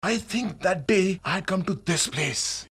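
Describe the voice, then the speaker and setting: An actor playing a greedy, simple old tailor in an animated children's story, with an aged, husky voice and hesitant delivery. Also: male voice, sits high, unhurried. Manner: hesitant, repeating words.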